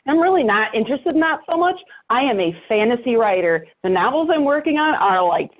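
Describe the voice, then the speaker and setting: A woman's voice: a little high, lively intonation, brisk. Woman on a muffled call, chatting. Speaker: podcast guest and co-host. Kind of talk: casual interview chat.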